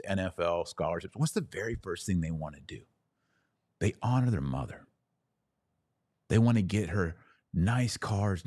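A man hosting a live religious question-and-answer talk, explaining didactically and emphatically, pausing deliberately on key words. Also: low-pitched, a little mellow, no room sound, clean studio sound. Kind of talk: live theological teaching and viewer Q&A.

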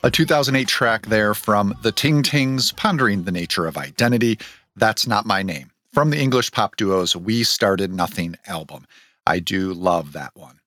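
A man's voice: lowish voice, lively intonation, moderate pace, a bit bright, dry recording, studio quality. A man hosting a podcast, discussing films and reading scripted narration. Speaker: podcast host.

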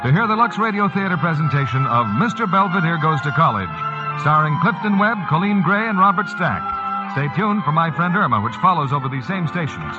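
A man's voice: fairly high pitch, animated, brisk. A man announcing for an old network radio broadcast in a formal, scripted manner. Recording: dull old radio broadcast over music.

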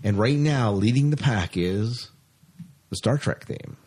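A man with a fairly low voice co-hosts a podcast in a casual, chatty way. He sounds enthusiastic as he talks through entertainment news, keeping it conversational. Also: animated, measured pace, a little mellow, slightly rough. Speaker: podcast co-host. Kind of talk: casual sci-fi news chat.

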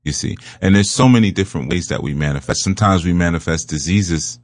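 A man giving a spiritual teaching talk, speaking slowly and emphatically and repeating his key phrases. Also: sits low, no echo, clean studio sound.

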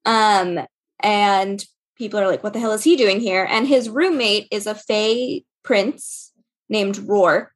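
A woman with a mid-range voice chats informally as a podcast host. She speaks in a casual, slightly halting way, as in a relaxed discussion of books. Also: mid-range voice. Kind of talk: casual book chat.

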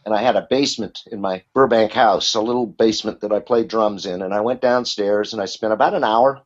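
A man's voice: on the low side, fairly quick. A middle-aged or older man who works as a voice actor, telling candid, rambling stories as a guest in a casual interview. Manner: chatty storytelling, candid, rambling with restarts. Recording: muffled lo-fi audio.

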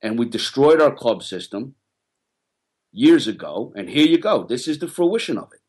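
A man with a middle-pitched voice talks rugby on a podcast, blunt and impassioned. He builds into a rant, and his voice rises as he hammers each point home. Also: big pitch swings, normal speed, breathy edge. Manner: passionate, ranting, rising pitch when worked up. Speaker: rugby podcast co-host, former coach. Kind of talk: casual podcast chat.

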